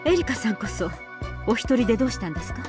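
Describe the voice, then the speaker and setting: Game role: proper middle-aged gentlewoman, defensive and formal, speaking very quickly over music. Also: a little high, sing-song. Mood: guarded. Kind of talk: Japanese visual novel voice acting.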